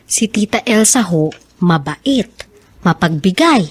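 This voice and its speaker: A woman's voice: medium pitch, expressive, slow pace, breathy edge, dry recording. A woman playing a resentful schoolgoing daughter in a radio drama, speaking in a plaintive, frank way. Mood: plaintive, puzzled. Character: resentful, outspoken schoolgoing daughter of abusive father.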